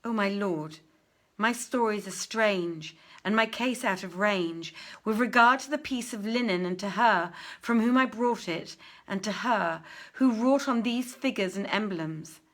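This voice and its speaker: An earnest young merchant's son read by a woman tells his strange tale in a storybook. The speech is formal and ornate, deliberately phrased, at a middling pitch for a female reader. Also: lightly acted. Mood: earnest, mysterious.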